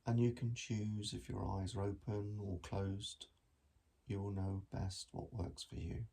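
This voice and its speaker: A man guiding a meditation in a gentle, measured manner with long pauses between phrases. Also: on the low side, little pitch movement, creaky, slightly rough. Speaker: mindfulness meditation teacher. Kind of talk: guided body scan meditation.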